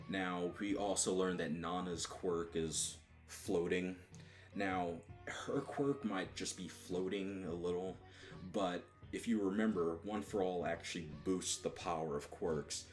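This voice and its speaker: A man giving a casual solo video review, talking in a mostly flat, monotone way and restarting his sentences often. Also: low voice. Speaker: manga reviewer.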